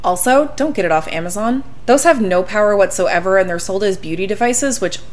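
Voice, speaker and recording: A woman co-hosting a casual podcast, animated and laughing often, emphatic when stressing safety. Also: medium pitch, fairly quick. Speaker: podcast co-host.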